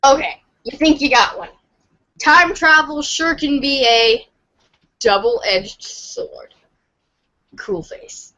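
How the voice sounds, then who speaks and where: A woman's voice: high-pitched, lively intonation, takes it slowly, pauses often, bright, forceful tone, highs cut off. A woman reading second-person comic narration aloud for a podcast. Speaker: reader in a webcomic reading podcast.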